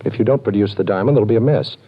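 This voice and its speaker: An actor playing a wisecracking, self-assured sleuth in a breezy, slangy 1940s radio detective drama style. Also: male voice, lowish voice, racing, breathy edge. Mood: brisk, resolute. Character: wisecracking, self-assured male amateur sleuth and reformed crook. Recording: muffled old-time radio recording.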